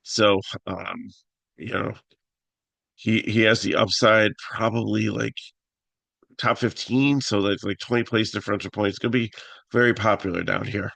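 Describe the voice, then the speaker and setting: A man with a raspy voice hosting a casual fantasy picks talk, halting with many fillers and restarts. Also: fairly low pitch, steady tone, fairly bright tone, no echo. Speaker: fantasy sports analyst host.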